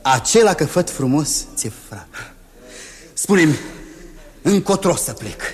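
A man's voice: a little high, very animated, breathy edge. A man playing a brave young hero prince in a 1960s radio drama, earnest and tender with his bride.

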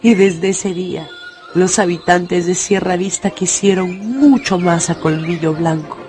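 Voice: medium pitch, steady tone, unhurried, a little mellow. A narrator telling a story from a script for a radio drama. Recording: music bed behind the voice.

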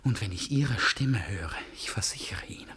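An actor in a German radio drama playing an agitated, feverish, accusing man, husky and theatrical, swinging between whispers and shouts. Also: on the low side, lively intonation, normal speed, heavily acted. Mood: scornful, accusing.

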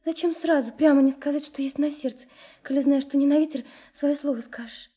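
An actress in a radio drama playing a sincere, lovesick young woman, soft and hesitant, often dropping into a breathy whisper. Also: high-pitched, fairly quick, close to an everyday voice. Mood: earnest, confiding. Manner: hesitant, earnest, confiding. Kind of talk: intimate radio drama acting.

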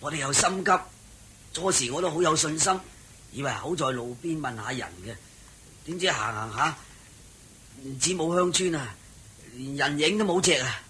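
Very sharp-voiced, anxious weary traveller. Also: male voice, on the high side, lively intonation, moderate pace, long pauses, slight background noise. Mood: resigned. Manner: exclamatory, sighing. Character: thirsty, weary male traveller, anxious but willing. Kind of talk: Cantonese radio drama acting.

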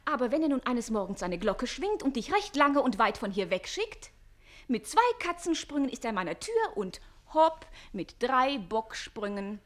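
An actress playing a witty, teasing young bride and chambermaid in a classic radio play, coquettish and knowing. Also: female voice, on the high side, lively intonation, normal speed, fairly bright tone. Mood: knowing, insinuating.

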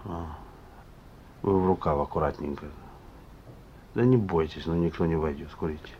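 Wounded young criminal, low voice, grudging, fatalistic, Soviet radio drama, noisy recording. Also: male voice, normal speed, highs cut off. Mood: weary, subdued. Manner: curt, grudging, colloquial. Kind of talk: Soviet radio play acting.

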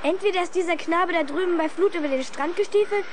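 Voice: very high voice, fast. A young performer playing a clever, bossy, precocious little boy detective in a 1970s radio play. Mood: insistent, deducing. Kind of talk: 1970s German children's radio play acting. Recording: noisy old vinyl recording.

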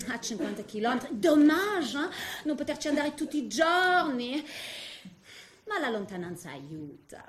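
An actress playing a callous, money-minded daughter-in-law, showy and affected, in a comic stage monologue. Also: female voice, sits high, fairly bright tone, heavily acted. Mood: smug, callous. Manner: showy, affected, peppered with French interjections. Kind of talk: theatrical comic monologue, one side of phone call.

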